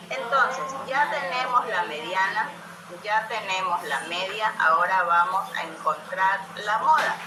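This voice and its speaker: A woman teaching statistics, explaining step by step and checking often that her students follow. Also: a little high. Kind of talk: classroom lesson. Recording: echoey room with loud music behind.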